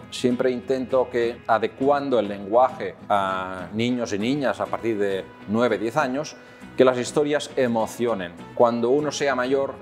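A man in his fifties with a Catalan accent, a children's author calmly answering questions to camera, pausing often on "eh". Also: medium pitch. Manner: calm, measured, with many "eh" fillers. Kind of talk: recorded talk to camera for children. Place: indoor room with tall wooden windows.

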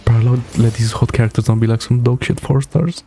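Young man, casual reaction commentary, relaxed dark tone, creaky, laid-back, trailer music underneath. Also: medium pitch, fairly quick. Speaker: streamer reacting to game trailers. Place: small indoor room, streaming setup. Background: game trailer music.

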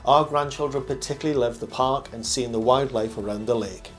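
A man's voice: mid-range voice, a little fast, a bit bright. Older man narrating over background music. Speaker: campsite review host.

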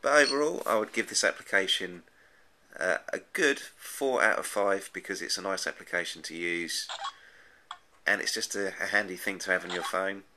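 A man's voice: deep voice, normal speed, noisy background. A man giving a casual, unscripted app review in a hesitant, rambling way, often repeating his words. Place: indoors at a desk, off screen.

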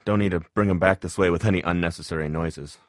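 An actor playing an awkward, earnest, tech-minded man in a zombie survival video game, speaking in a hesitant, wry, matter-of-fact way with a husky voice. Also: deep voice, fairly even intonation, quick pace, no room sound, studio quality, natural voice. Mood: uneasy, cautious. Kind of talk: naturalistic video game voice acting.

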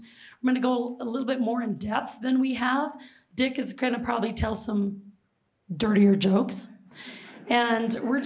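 A middle-aged woman from Seattle speaks to a packed auditorium through a handheld mic, her voice hoarse and gravelly from overuse. She pitches it a touch high and keeps things lively, throwing questions and jokes out to the crowd. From Seattle, Washington. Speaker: presenter and flight attendant. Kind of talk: public history presentation to an audience. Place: large auditorium stage.

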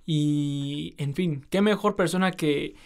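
A man's voice: a little high, takes it slowly, creaky. Friendly male student podcast host. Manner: friendly, lots of fillers like "eh" and "este". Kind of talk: podcast interview.

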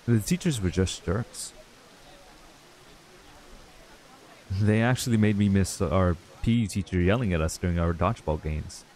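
A man's voice: sits low, brisk, a little mellow, close to an everyday voice. A man playing a laid-back, wistful young man in an ASMR roleplay, talking casually and hesitantly to a childhood friend. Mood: bitter. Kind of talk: ASMR roleplay acting, intimate and conversational.

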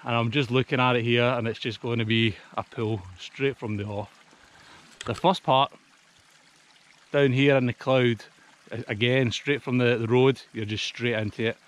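A man's voice: mid-range voice, steady tone, normal speed. A Scottish man in his thirties, slightly out of breath, chatting casually to camera as a hiking vlogger outdoors on a mountainside. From Scotland. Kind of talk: casual vlog chat.

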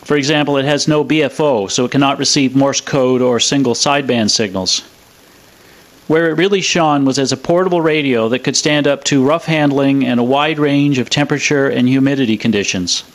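A man's voice: medium pitch, fairly quick. A man presenting in a scripted, explanatory narration style. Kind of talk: scripted narration of a radio restoration demo.

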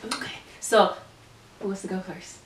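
A nineteen-year-old woman hosts a relaxed faith chat from a couch in a living room, animated and quick to laugh. She is picked up by a camera mic several feet away, so her voice carries a roomy echo. A faint noise floor sits under it. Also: mid-range voice, recorded from a distance. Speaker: host. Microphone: camera mic at a distance.

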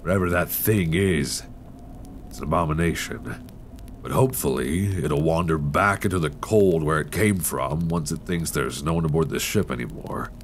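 A man playing a weary, grim survivor on an icebound ship in a horror ASMR roleplay, talking low-key and intimately to one listener. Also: moderate pace, slight background noise. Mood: grim, resigned. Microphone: close binaural microphone. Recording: close binaural with steady storm-like ambience.

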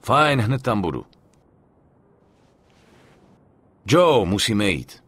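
An actor playing a level-headed, practical young mobster with a coarse, urgent way of talking in a dubbed game. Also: male voice, on the low side, very animated, fairly bright tone, husky, no echo, heavily acted. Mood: tense, businesslike. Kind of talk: dramatic game dub acting.

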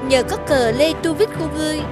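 A woman's voice: high-pitched, fast talker, loud music behind the voice, close to an everyday voice. A woman playing a domineering female figure in a children's cartoon, with a theatrical, scolding delivery. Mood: haughty, mocking. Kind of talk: animated children's cartoon dubbing.

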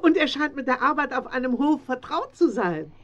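Kindly older country woman, hearty, very lively intonation, slightly dull radio-play recording. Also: high-pitched, brisk. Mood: warm, relieved. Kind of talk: 1970s German children's radio play acting. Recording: slightly dull 1970s audio drama recording.

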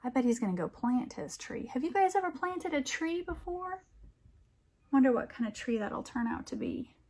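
A middle-aged American woman reads a picture book aloud, adding expressive, wondering comments for young listeners. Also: high voice, normal speed, slightly rough. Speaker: storytime reader and teacher.

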